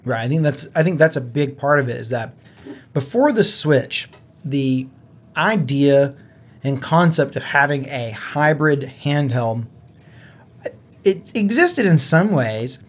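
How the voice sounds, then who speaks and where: A man's voice: mid-range voice, expressive, slow pace, breathy edge. A man co-hosting a casual gaming podcast, talking in an earnest, conversational way. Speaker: podcast co-host.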